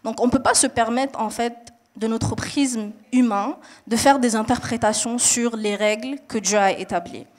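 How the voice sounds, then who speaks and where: A woman's voice: a little high, moderate pace. A young woman from Mali and Niger, raised in Saudi Arabia, answering a panel question in an earnest, explanatory way with frequent hesitations and restarts.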